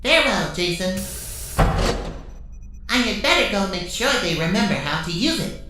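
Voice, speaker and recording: A woman's voice: medium pitch, sing-song, moderate pace, bright, forceful tone. A woman playing a fussy, helpful robot assistant in an audio drama, prim and sing-song. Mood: fussy, chirpy. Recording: heavy echo, faint music.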